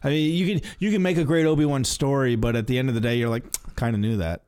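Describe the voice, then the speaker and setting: A man's voice: medium pitch, steady tone, quick pace, darkish tone, clean tone. A man with a mid-range voice chats as a podcast co-host. His talk is informal fan commentary, and he often repeats a phrase as he gets to his point. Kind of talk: casual chat, fan commentary.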